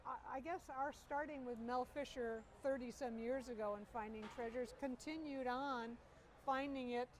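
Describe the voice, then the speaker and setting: Middle-aged woman, slightly high voice, enthusiastic storyteller, faint outdoor noise behind. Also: fairly even intonation, moderate pace. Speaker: interview guest. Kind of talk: storytelling interview. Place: outdoor air show.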